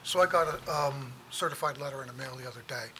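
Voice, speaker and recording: A man steps up to comment at a town meeting and speaks haltingly, with many fillers and pauses. His tone is bright. Faint room noise hums behind him. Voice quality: low voice. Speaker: resident speaking at public comment.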